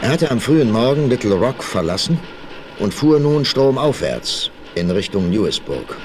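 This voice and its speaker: A man's voice: medium pitch, sing-song, moderate pace. A man narrating a 1960s radio play as a dramatic, theatrical, suspenseful storyteller. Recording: vinyl record transfer with faint noise.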